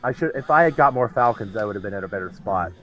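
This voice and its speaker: A man with a gravelly, hoarse voice from a cold, talking casually and hesitantly about the games he won. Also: fairly low pitch, animated, fast talker, bright, forceful tone, faint music behind. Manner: hesitant, restarts often. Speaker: tournament winner, interviewee. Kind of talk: casual post-match chat.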